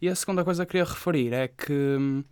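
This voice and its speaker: A man's voice: on the high side, fast talker, darkish tone, smooth, dry recording. A man from Portugal with a European Portuguese accent, co-hosting a casual tech news podcast and reading items with chatty asides. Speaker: podcast co-host.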